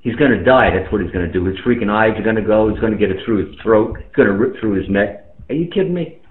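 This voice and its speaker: A man's voice: on the low side, lively intonation, fast. An American man chatting casually over amateur radio in an emphatic, streetwise way, repeating phrases to drive them home. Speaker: amateur radio operator in a round-table chat. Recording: tinny, echoey radio transmission.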